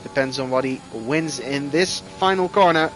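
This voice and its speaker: A man whose first language is Dutch, commentating live on an online racing game with excitable bursts. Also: mid-range voice, moderate pace, soft background music. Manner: excitable, rising loud bursts at crashes. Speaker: esports race commentator.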